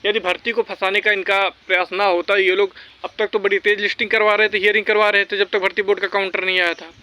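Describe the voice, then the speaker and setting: A man's voice: high voice, level tone, quick pace, pushed and bright. A man talking informally and with strong opinions straight to his viewers, exhorting them and repeating his points for emphasis. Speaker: vlogger giving recruitment exam updates.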